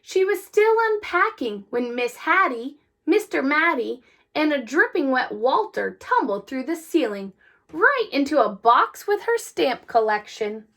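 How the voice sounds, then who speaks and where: A woman reading a children's story aloud with deliberate, expressive phrasing. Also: high-pitched. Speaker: storybook reader. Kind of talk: children's read-aloud, scripted narration.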